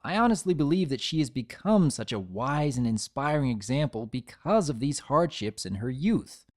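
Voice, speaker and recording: Animated, earnest male fan analyst. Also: on the high side. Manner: earnest, formal wording. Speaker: channel host and fan analyst. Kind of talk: scripted analysis commentary.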